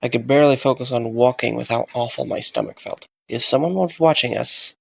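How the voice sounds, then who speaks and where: A man's voice: medium pitch, a little fast, vocal fry, no room sound. A man acting in an audio drama as a weary, dryly joking young man who takes his responsibilities stubbornly. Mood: puzzled, tired. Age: young adult.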